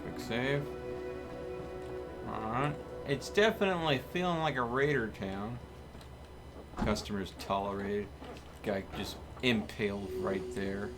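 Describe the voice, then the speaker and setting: A man live streaming a video game, chatting casually to viewers in an expressive, playfully mock-dramatic way. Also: fairly low pitch, takes it slowly, long pauses. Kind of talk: casual gaming commentary to viewers. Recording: music behind the voice. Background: music.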